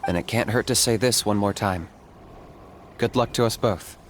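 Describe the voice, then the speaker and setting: Casting: man as a cool-headed, low-voiced hunter, even and pragmatic. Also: a little hiss or hum, lightly acted. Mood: calm. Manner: measured, matter-of-fact. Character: calm young male hunter, pragmatic and reserved.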